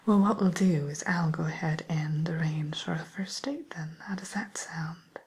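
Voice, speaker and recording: A woman playing a polite, discreet matchmaker for villains in a whispered ASMR roleplay, speaking softly and breathily with tactful, hesitant, gently dry delivery. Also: sits low, normal speed. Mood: helpful, coaxing.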